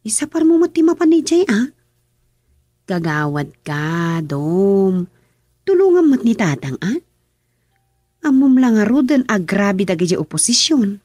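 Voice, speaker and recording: A woman's voice: medium pitch, big pitch swings, a little slow, pauses often, a little mellow, dry recording, clean studio sound. An actress with an Ilocano accent playing an insistent village woman in a radio drama, coaxing and pleading with tag questions. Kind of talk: radio serial drama acting.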